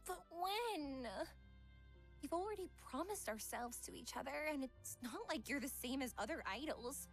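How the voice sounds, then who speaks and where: A woman's voice: high voice, animated, moderate pace, faint music behind. A woman voicing a refined, affectionate young psychologist in a visual novel game, with genteel, careful phrasing. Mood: troubled, earnest.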